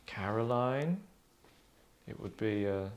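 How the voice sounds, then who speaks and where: A man in his thirties hosting a casual group discussion, talking in a hesitant, rambling, deadpan way. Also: lowish voice, slow. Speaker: host and narrator of a table-read show. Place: small furnished lounge, indoors.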